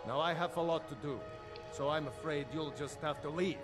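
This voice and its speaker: A man's voice: fairly high pitch, moderate pace, lightly acted. An actor playing an indignant, scornful male shopkeeper in a video game, speaking in a clipped, dismissive way. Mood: scornful, defiant. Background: game music.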